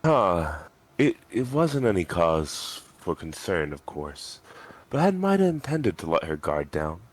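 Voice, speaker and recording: A man's voice: sits low, very animated, measured pace. A man narrating a story aloud as a dramatic reading.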